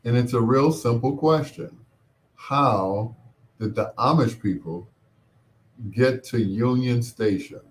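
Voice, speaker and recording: A man hosting a casual call-in radio show, talking in a wry, exasperated, drawn-out manner. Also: medium pitch. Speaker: radio talk show host.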